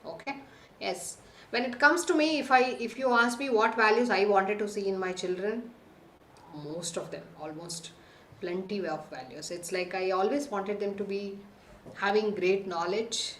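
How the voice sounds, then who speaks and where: A woman's voice: mid-range voice, moderate pace, roomy sound, slight background noise, poor audio. A woman hosting a live online parenting workshop on a video call, reading participants' answers from the chat and checking in with the class. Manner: reads lists of answers aloud, checks in with the class often. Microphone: computer mic on a video call.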